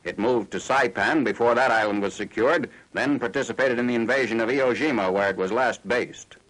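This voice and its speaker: An American man reading scripted 1940s military newsreel narration in a formal, factual, measured announcer style. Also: medium pitch, fairly bright tone. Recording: noisy 1940s newsreel film soundtrack.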